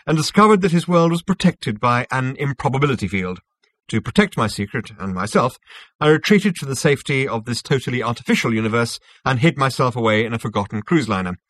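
A man's voice: medium pitch, expressive, brisk, fairly bright tone, pitched above the performer's own voice. A man voicing a smug, self-assured male schemer with a businesslike, slightly hesitant manner in a science fiction storybook. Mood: smug, explanatory.

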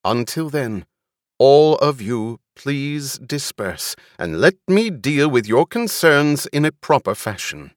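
Stern noblewoman ruler, played by a male narrator: authoritative, formal, resolute, deliberate, in a dry audiobook recording. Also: deep voice, animated, a bit bright, no room sound, heavily acted. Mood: stern, commanding.

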